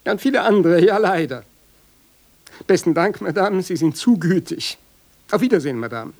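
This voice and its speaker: Radio play: fussy, effusively courteous gent, highish and very animated. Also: male voice, moderate pace. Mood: flustered, obsequious. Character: over-polite, flustered man fending off a caller. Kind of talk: radio drama acting.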